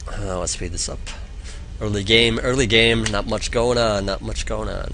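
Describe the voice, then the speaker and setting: A man's voice: lowish voice, fairly even intonation, moderate pace, bright, forceful tone. Nasal young man coaching, noisy mic. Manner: rambling, filler-heavy, encouraging. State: nasal from a cold. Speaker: game coach. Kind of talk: casual live-stream replay analysis.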